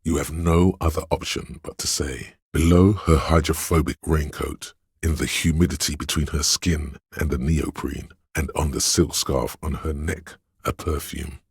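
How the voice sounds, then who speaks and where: A man voicing a video game's inner voice of addiction and lust, seductive and goading, with a husky, gravelly delivery that savours the words. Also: very deep voice, steady tone, normal speed, hardly any pauses, no room sound, clean studio sound, exaggerated voice. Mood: sultry. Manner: sensual, drawling, insinuating, savouring words. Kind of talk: video game character voice-over.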